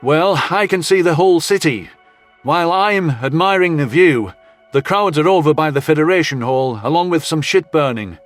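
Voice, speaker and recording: Guy acting as a gruff wary space soldier in an audio drama, kinda high voice, slangy, music under. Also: normal speed, close to an everyday voice. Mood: matter-of-fact. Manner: blunt, slangy.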